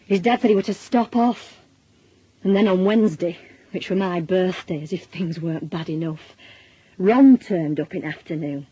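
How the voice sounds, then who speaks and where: A woman's voice: fairly low pitch, moderate pace, dark tone, husky, no room sound, rough recording. An actress playing a worried, plain-speaking working-class Northern English mother, middle-aged, in a naturalistic radio drama, confiding and colloquial. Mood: weary, reflective. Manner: colloquial, world-weary, confiding.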